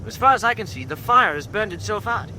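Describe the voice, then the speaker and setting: An efficient young British officer in a wartime radio drama. His voice is high and bright, keen and deferential. A faint rumble runs behind it. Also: expressive, fast, full character voice, rough recording. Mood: keen, dutiful.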